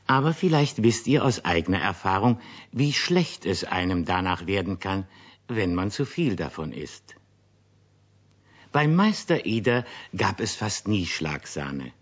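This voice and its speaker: A low-voiced man tells a scripted story to children as narrator of a radio play. He is chatty and unhurried, with a husky tone, on a dull old recording. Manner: chatty, expressive.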